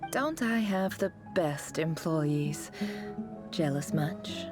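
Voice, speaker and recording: Cool commanding boss lady, low voice, sardonic and teasing, deliberate phrasing, video game character, music behind. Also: lively intonation. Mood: sly teasing. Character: sly, commanding woman boss, coolly teasing and self-assured.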